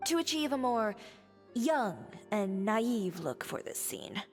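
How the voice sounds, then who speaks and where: A woman's voice: a little high, very animated, takes it slowly, in a large hall, soft background music. A woman voicing a blunt young makeup artist in a game, terse, cool and impatient. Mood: curt. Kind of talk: video game cutscene voice acting.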